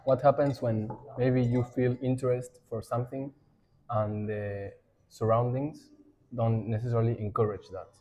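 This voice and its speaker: A man hosting a podcast who asks open questions and fills his guest's pauses with short agreeing replies. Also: mid-range voice, fairly even intonation, a little mellow. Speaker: podcast host. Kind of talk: casual podcast interview.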